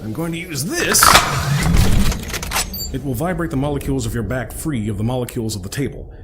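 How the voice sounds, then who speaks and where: A man's voice: medium pitch, brisk, heavy background noise, lightly acted. A man playing an eccentric, well-travelled inventor and rescuer in an audio drama, talkative and fussy, with a hesitant, rambling, politely wordy manner. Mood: earnest, gentle.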